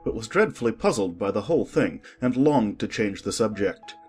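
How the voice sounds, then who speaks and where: A man narrating a dramatized audiobook in an expressive storytelling manner. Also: moderate pace, soft background music. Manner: expressive storytelling, varied pitch.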